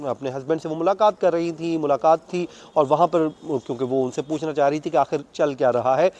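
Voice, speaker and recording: Higher-voiced Pakistani man reporting news. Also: warm tone. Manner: conversational, detailed step-by-step recounting with English legal terms mixed in. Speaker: court reporter. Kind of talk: unscripted news account of a court hearing.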